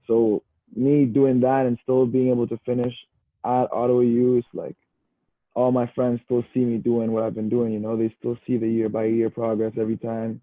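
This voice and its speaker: A man's voice: mid-range voice, normal speed, dry recording. A young Canadian man, a university football player, chatting easily as a podcast guest, often saying "bro" and "you know". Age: young adult. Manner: relaxed, easygoing, says "bro" and "you know" a lot. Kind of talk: casual podcast chat. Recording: tinny phone-like line, dry.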